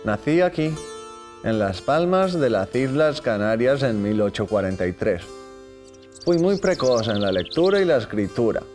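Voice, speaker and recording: A man plays a dignified elderly novelist, speaking in a formal, measured way. His voice sits at a middle pitch, with soft music playing under it. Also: sing-song, natural voice. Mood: courteous. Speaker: actor playing a historical writer. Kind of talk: scripted educational drama.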